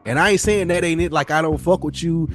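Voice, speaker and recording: A man's voice: a little high, brisk, faint music behind. A man hosting a podcast, talking casually and reflectively in an earnest, slangy, rambling way. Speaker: podcast host.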